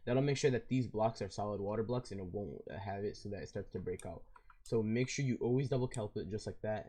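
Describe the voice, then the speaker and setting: A man who is losing his voice, so it comes out raspy and hoarse, and a little low and dark. He talks fast and loosely, padding with fillers and restarting sentences as he builds. Faint keyboard and mouse clicks tick along behind him. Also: slight background noise. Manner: casual and rambling, with fillers and self-corrections. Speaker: video game tutorial creator. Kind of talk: casual step-by-step game tutorial.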